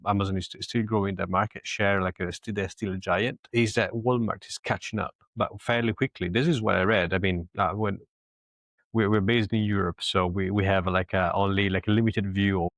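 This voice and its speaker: A man in his thirties from Italy, speaking English with an Italian accent touched by British, talking haltingly with many restarts as a podcast guest. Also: on the low side, steady tone, a bit bright, no room sound. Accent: Italian with British influence. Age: 30s. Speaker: podcast guest, agency COO. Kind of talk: casual interview talk.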